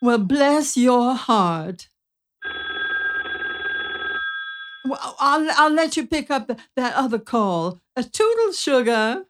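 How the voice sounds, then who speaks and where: A woman's voice: high-pitched, sing-song, takes it slowly, heavy background noise, exaggerated voice. A woman playing a genteel, syrupy Southern society lady in a comic audio drama, with a Southern US accent and polite, sugary manners. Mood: gracious.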